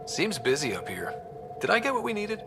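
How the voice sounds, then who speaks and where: A man's voice: sits high, wildly swooping pitch, fairly quick, over music, heavily acted. A man playing an earnest young leader of space explorers in a video game, casual yet resolute. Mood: focused. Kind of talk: video game cutscene acting.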